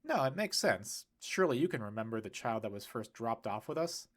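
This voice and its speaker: A man's voice: mid-range voice, sing-song, a little fast, fairly bright tone. Guy playing a reasonable easygoing man in a storybook, plain chatty delivery, medium voice.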